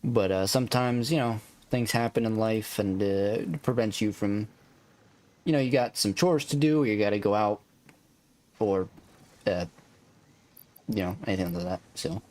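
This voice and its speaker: A man's voice: fairly low pitch, normal speed, pauses often, a little mellow. A man with a soothing voice chatting casually and thinking aloud as he plays a game on a live stream, in a laid-back, rambling way. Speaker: live streamer playing a factory-building game. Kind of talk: casual live-stream chat and gameplay commentary. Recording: clear background noise behind the voice.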